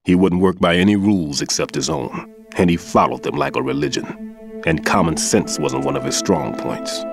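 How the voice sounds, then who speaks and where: An actor playing an older male bomb disposal expert, a patient mentor who explains things in a measured, instructive way in a game cutscene. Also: extremely low pitch. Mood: calm instructive. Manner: instructive, reflective. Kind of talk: video game cutscene dialogue. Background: music score.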